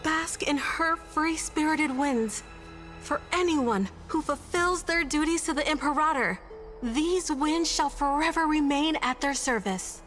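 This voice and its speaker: A woman's voice: high voice, measured pace, a bit bright, faint music behind. A woman playing a serene devotee of an empire in a video game, lofty and ceremonial. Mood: reverent.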